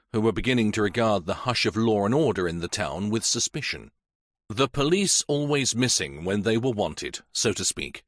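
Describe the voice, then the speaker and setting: A man reading a mystery novel aloud in a measured, even storytelling manner. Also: on the low side, dry recording, studio quality. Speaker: audiobook narrator. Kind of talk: scripted narration.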